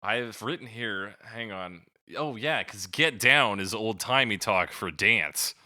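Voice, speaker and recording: Male music podcast co-host, informal and loose-tongued, lower-pitched with a very bright edge, closely dry. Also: lively intonation, slow, no echo. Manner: informal, freely swearing. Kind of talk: casual chat reviewing songs.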